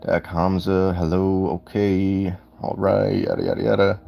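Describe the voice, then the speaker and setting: A man with a raspy voice chatting casually while streaming gameplay and reading out viewer comments. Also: sits low, flat delivery, unhurried, warm tone. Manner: laid-back, chatty, reads out chat comments. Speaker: gaming streamer.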